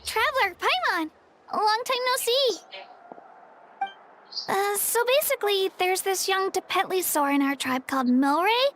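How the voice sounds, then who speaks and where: A small, sincere girl from a video game tribe. Her voice is extremely high and sweet, speaking earnestly and a little hesitantly over faint background noise. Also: a little slow. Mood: glad.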